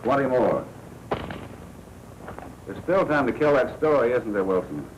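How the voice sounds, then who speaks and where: An American actor playing a smooth-talking racketeer boss in a 1940s noir film, deliberate and falsely cordial. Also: male voice, mid-range voice, very animated, muffled recording. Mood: cool, needling. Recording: hissy 1940s film soundtrack.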